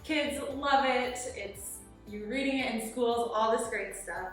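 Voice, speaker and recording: A woman's voice: on the high side, a little slow. A woman chatting casually and effusively as an editor interviewing an author. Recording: echoey room with music.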